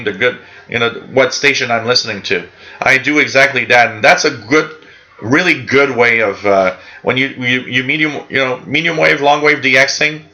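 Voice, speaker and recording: A man's voice: medium pitch, bright, forceful tone. A man whose first language is French hosts a live radio hobby show, chatting casually with viewers in a rambling, friendly way full of fillers and restarts. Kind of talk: casual live-stream chat reading out viewer comments.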